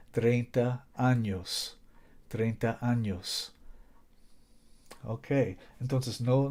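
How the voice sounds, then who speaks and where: A man's voice: lowish voice, a little mellow. A man preaching a Bible lesson in Spanish, which is not his native language, reading scripture aloud and repeating his key points earnestly.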